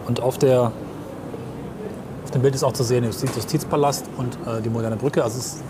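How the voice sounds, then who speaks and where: Quick-talking easygoing man outdoors in traffic. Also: mid-range voice. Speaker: podcast co-host. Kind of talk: casual chat and sightseeing commentary on the move. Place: outdoors on city streets, walking. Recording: clear outdoor city and traffic noise. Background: street ambience, vehicles.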